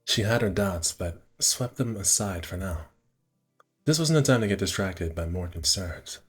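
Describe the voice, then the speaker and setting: A man with a low, slightly rough and husky voice reads story narration aloud for a casual game stream. He stumbles now and then and drops into offhand asides. Soft game music plays behind him. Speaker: streamer reading a visual novel aloud.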